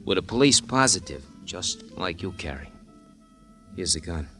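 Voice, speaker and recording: An actor playing a sly, cocky American murderer in a 1950s radio crime drama, with slangy, needling delivery. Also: male voice, low-pitched, very animated, moderate pace, creaky, bright, forceful tone, soft background music, exaggerated voice. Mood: smug, sly. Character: cocky, sly male murderer and crook, bribing a cop.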